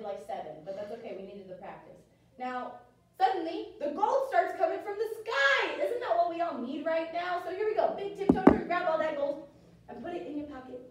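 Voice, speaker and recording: A very reverberant dance studio, picked up by a distant microphone, with music in the recording. An American woman with a high voice leads small children through ballet steps, calling cues in an encouraging, animated manner. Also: slight background noise. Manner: animated, encouraging, sing-song cueing. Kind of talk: dance class instruction for toddlers. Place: indoor dance studio with wooden floor. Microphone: camera several metres away.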